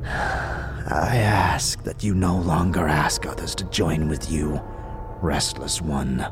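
A man voicing an old, dying, weary scribe in an audio drama, frail and breathy, speaking slowly and solemnly in archaic phrasing. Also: extremely low pitch, over music. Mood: solemn warning. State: sickly, coughing.

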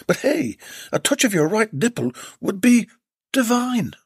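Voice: medium pitch, sing-song, measured pace, husky, dry recording, clean studio sound, pitched above the performer's own voice, exaggerated voice. A reader voicing a tallish, apologetic male plumber in a comic storybook, with an earnest, fawning delivery. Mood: eager. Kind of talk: storybook character voice.

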